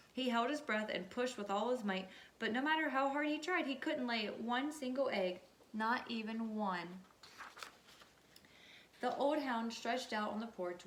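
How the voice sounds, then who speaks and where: A woman teacher reading a children's storybook aloud and checking in with her listeners in an instructive way. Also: a little high. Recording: echoey room.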